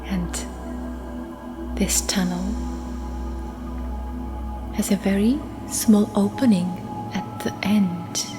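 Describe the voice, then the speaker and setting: A woman guiding a sleep meditation in a soft, breathy whisper, with gentle, hushed, soothing delivery and lingering pauses. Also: medium pitch, fairly even intonation. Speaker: meditation guide. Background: immersive ambient meditation music.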